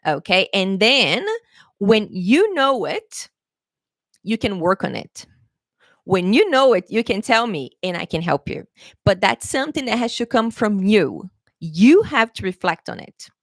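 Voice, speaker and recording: A woman's voice: mid-range voice, sing-song, a little slow, no room sound, studio quality. A woman English teacher giving a live exam-prep lesson, chatty and encouraging, laughing often and checking in with her viewers. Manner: laughs often, playful pet phrases.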